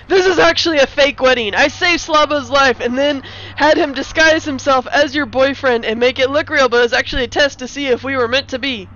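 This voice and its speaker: A woman's voice: high-pitched, fairly quick, fairly bright tone, muffled recording. A woman playing a lovestruck, melodramatic male action-movie hero in a comic screenplay reading. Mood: romantic, declaring. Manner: melodramatic, declarative.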